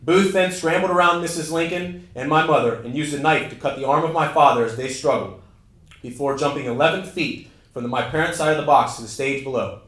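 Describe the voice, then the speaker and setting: A man's voice: fairly high pitch, brisk, a bit bright, natural voice. A man playing a formal congressman who recounts his family history in a scripted first-person monologue on stage. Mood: grave. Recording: echoey room, live stage reading.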